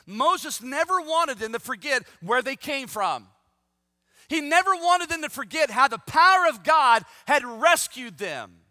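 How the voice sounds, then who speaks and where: High-voiced fifty-something preacher. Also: male voice, sharp tone, no room sound, clean studio sound, long reverb tail. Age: 50s. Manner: reads scripture aloud, then preaches with rising, emphatic intensity. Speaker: pastor. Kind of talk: sermon.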